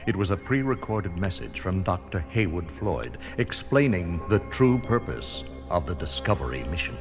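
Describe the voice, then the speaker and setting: A man's voice: deep voice, big pitch swings, normal speed. A man narrating a children's read-along story in a dramatic, scripted storytelling style. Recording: music under the voice.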